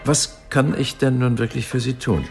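An actor playing an erudite philosophy professor in a radio drama, donnish, deliberate and wryly amused. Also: male voice, mid-range voice, fairly even intonation, creaky, a little mellow, soft background music, close to an everyday voice. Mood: courteous, curious. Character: erudite, fascinated male Cambridge philosophy professor.

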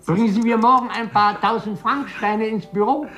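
A man's voice: sits high, normal speed, bright, forceful tone. An actor playing a blustering, mocking male office colleague in a 1950s radio play, with an emphatic, challenging delivery. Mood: amused mockery. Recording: old radio recording with music.

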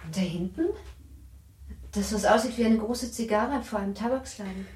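An actress playing a curious, sensitive young aristocratic bride in a German radio play, with a wondering, softly expressive and at times breathy delivery. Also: female voice, mid-range voice, moderate pace, lightly acted.

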